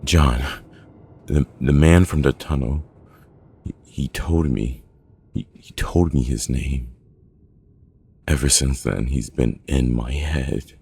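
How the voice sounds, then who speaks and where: A man's voice: rumbling low, steady tone, takes it slowly, vocal fry, slightly rough, full character voice. A man playing a frantic, broken trapped man in a horror audio log, crying and stammering as he pleads. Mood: frantic fear. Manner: stammering, pleading, repeating words. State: crying, panicking.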